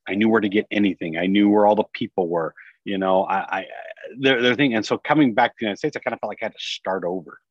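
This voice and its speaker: A man with a lowish voice talks casually as an interview guest. He is candid and reflective about himself. The recording is close and dry, a little dull on top. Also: fast talker, no echo. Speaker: podcast guest, business executive. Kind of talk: casual personal storytelling in an interview. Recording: dry, slightly dull sound.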